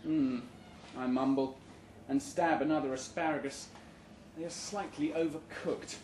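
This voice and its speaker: A man reading a short story aloud at a live event with a deadpan, measured comic delivery. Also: fairly high pitch. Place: indoor room at a live literary event. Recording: roomy live venue recording with background noise.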